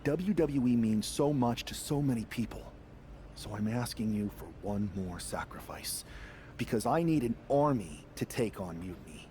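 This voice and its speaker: A man's voice: mid-range voice, animated, moderate pace, mellow, a little hiss or hum, natural voice. A man playing an earnest, determined wrestler asking for help in a video game story scene. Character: earnest, determined male wrestler seeking allies. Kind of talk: dramatic game dialogue.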